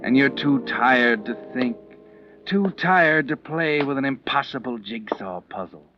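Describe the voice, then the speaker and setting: A man plays an ominous all-seeing storyteller in a 1940s radio mystery. He speaks directly to the doomed hero at a medium pitch, with music behind and a dull, aged recording. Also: lively intonation, unhurried, natural voice. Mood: ominous, knowing. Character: ominous omniscient narrator addressing the doomed protagonist.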